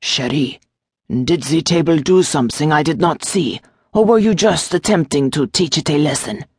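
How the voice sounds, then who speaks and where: A small, wry gargoyle demon played by a woman narrator speaks in a lilting French accent. The voice is low and husky, dry and close, with courtly, fretful phrasing fit for a fantasy audiobook. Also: expressive, moderate pace, no room sound. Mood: wry, teasing. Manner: formal, courtly phrasing with endearments.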